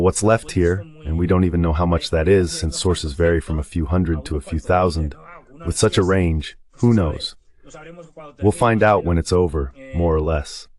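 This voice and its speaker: Man, deep low voice, guest analyst, explanatory, conversational asides, voice-over commentary. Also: darkish tone. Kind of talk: live war commentary read as an AI voice-over translation.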